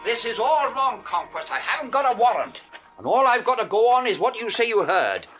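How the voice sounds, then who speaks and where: A blustering police inspector from a 1930s detective radio play. His voice sits high and rings out forcefully, with lively swings and gruff exclamations. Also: brisk, soft background music, full character voice. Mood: exasperated. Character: blustering, hard-boiled male police chief inspector.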